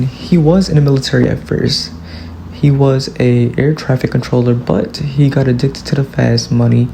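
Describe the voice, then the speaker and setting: Young guy in his 20s reading his class project out loud, gravelly, mid pitch. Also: a little hiss or hum. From Louisiana, US. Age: early 20s. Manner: steady reading of a prepared script. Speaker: student presenter. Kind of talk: class presentation.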